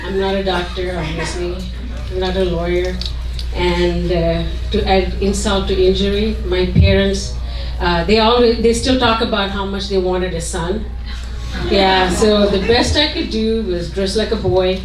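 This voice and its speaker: A woman in her late forties from Sri Lanka performs a stand-up comedy routine with a halting delivery, leaving pauses after punchlines. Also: mid-range voice, steady tone. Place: indoor comedy club stage. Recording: roomy, distant mic, noisy club recording.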